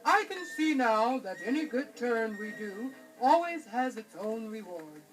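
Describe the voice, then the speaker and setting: Proud storybook lion, acted by a woman, slow and booming, with a rising and falling voice. Recorded from a distance, with soft music under it. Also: very animated. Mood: magnanimous. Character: big proud male lion, amused.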